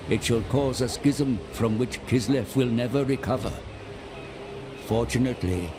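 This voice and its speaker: An actor playing a mysterious, scheming advisor who narrates a video game cinematic in a grave, formal, deliberate manner. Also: male voice, lowish voice, background noise, natural voice.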